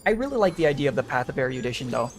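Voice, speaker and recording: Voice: mid-range voice, sing-song, rapid-fire. A game streamer giving casual gameplay commentary, rambling and thinking aloud. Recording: game music behind the voice. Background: video game music.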